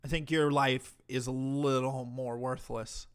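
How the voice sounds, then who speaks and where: A man's voice: medium pitch, flat delivery, a little slow, clear, resonant tone. A man hosting a livestream, reacting to a clip with mocking, profane commentary. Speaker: commentary streamer host.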